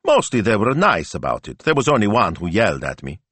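A man's voice: fairly low pitch, huge pitch swings, brisk, a bit bright, slightly rough, no room sound, heavily acted. A man voicing an eager, naive young male sidekick with an earnest, deferential manner in an audiobook. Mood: defensive.

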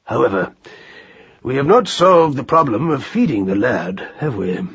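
A man voicing a worldly, avuncular gentleman rabbit with deep tones and a courteous, measured manner. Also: big pitch swings, slightly rough, dull audio, exaggerated voice. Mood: confiding.

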